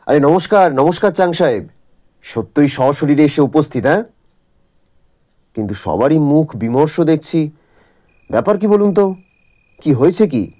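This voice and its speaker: Hearty middle-aged detective, lively intonation, mellow tone, self-assured, radio drama. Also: male voice, fairly high pitch, moderate pace, long pauses. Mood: jovial, curious. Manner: loud greetings, ponderous musing. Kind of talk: Bengali radio drama acting.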